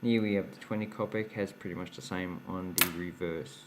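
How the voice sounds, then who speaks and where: Male hobby host, lowish voice, faint noise behind, chatty show-and-tell. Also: steady tone, brisk. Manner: chatty, explains while handling coins, laughs at his own slips. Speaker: coin collecting channel host. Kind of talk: casual show-and-tell vlog.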